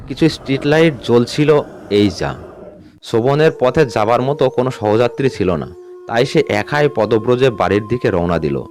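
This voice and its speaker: A man narrating a scripted ghost story in a measured, suspenseful way. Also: mid-range voice, a little mellow. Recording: music bed under the voice. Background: background music.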